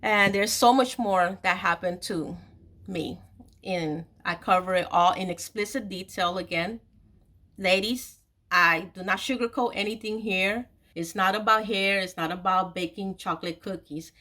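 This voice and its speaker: A woman's voice: mid-range voice, measured pace, bright, forceful tone. A woman in her forties tells a personal story to her viewers in a candid, confiding way, repeating phrases for stress. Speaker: host of an online video series, author telling her own story.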